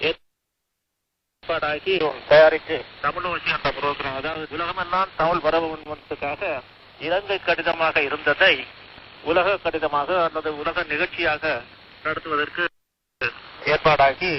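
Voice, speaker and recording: An older man reminiscing in a radio interview, halting and pausing mid-phrase, on an archive recording. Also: a little high. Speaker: veteran broadcaster being interviewed. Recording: muffled, faintly hissy archive radio interview.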